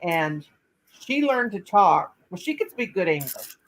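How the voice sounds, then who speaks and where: A woman's voice: lowish voice, sing-song, measured pace, rough recording. An older woman hosting a casual live chat on her laptop, telling a rambling story full of restarts. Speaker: channel host.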